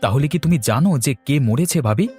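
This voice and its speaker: A man's voice: on the high side, expressive, fast talker, mellow, soft background music, natural voice. A man playing a reproachful village neighbour in a cartoon, with a scolding manner.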